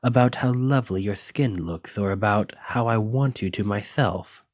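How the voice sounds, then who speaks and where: A man's voice: fairly low pitch, normal speed, darkish tone, no echo, studio quality, natural voice. A man playing a flirtatious, slightly possessive dragon-blooded librarian in an intimate, teasing ASMR romance roleplay. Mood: fond, exasperated flirting. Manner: intimate, teasing, uses pet names.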